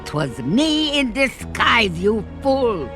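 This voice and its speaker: A woman's voice: on the high side, very animated, slow, full character voice. A woman voicing a scheming, theatrical evil duck sorceress in a cartoon game, gloating and mocking in an Eastern European accent. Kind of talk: cartoon game villain acting. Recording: music behind the voice.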